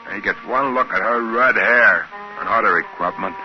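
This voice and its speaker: An actor playing a folksy, easygoing space trader who narrates in a 1950s radio drama, with an American accent and colloquial, ungrammatical speech. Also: male voice, fairly low pitch, moderate pace, over music, natural voice. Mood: matter-of-fact. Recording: hissy, muffled 1950s radio recording.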